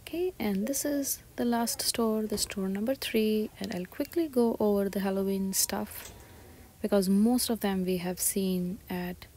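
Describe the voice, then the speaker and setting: A woman shopping vlogger giving soft, breathy, often half-whispered ASMR-style commentary as she describes items one by one inside a store. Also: medium pitch, unhurried. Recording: store ambience noise behind soft voice.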